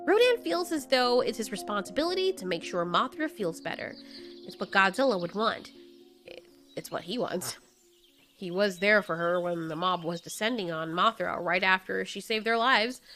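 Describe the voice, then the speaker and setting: A woman's voice: medium pitch, animated, moderate pace, fairly bright tone, soft background music. A woman narrating a scripted story as its storyteller, in the present tense.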